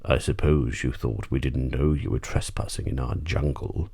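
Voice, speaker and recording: A man voicing hungry, menacing storybook tigers with a husky, breathy, drawn-out delivery. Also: rumbling low, warm tone, no room sound, studio quality, pitched below the performer's own voice, full character voice. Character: hungry menacing male tigers, slyly toying with prey.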